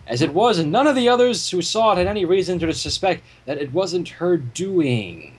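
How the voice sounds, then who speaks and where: A man reading a story aloud dramatically, with expressive reactions and asides. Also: high voice, normal speed, breathy edge. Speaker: fan fiction reader.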